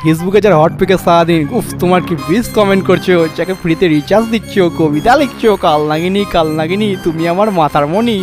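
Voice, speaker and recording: A man's voice: high voice, a little fast, few pauses, natural voice. A man voicing a young male water snake in a comic cartoon, cheeky and easygoing, chatting in teasing slang. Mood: mocking. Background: music.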